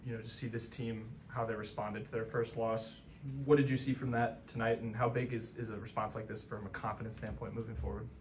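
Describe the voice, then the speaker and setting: A man reporter asking a coach a measured question at a press conference. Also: lowish voice, flat delivery. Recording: distant mic, faint noise.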